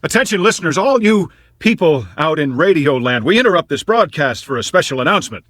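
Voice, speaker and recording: Lost amnesiac American airman, radio play, stammering, highly expressive delivery, music underneath. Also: no echo, clean studio sound. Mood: pleading, rambling. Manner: halting, stammering, repeats words. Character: confused, lonely amnesiac Air Force man talking to himself. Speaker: lead actor. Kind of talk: dramatic radio play acting.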